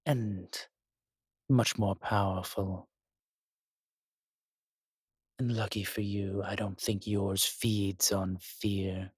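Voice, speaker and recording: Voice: low-pitched, level tone, slow, slightly rough, dry recording, studio quality, full character voice. An actor playing an ancient, powerful spirit, sardonic, proud and condescending, in an audio roleplay drama. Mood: haughty, menacing.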